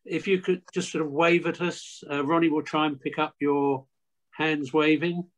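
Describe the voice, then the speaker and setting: A man's voice: a little high, fairly even intonation, moderate pace, rough recording. An older man hosting an online talk, thanking the speaker and calling on questions, restarting words as he goes. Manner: warm, stammers on restarts. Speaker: host. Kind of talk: hosting a video call. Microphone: video call.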